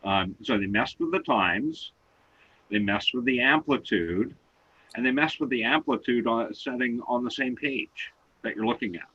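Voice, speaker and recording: Loud-voiced man patiently explaining. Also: mid-range voice, moderate pace, slightly rough, lo-fi sound. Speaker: co-host explaining seismograms. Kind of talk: online talk show discussion.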